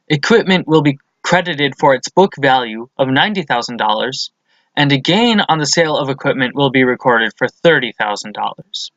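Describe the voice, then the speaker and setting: A man's voice: mid-range voice, fairly bright tone, dry recording, lo-fi sound. A man presents a lesson as scripted instructional narration, explaining things methodically step by step.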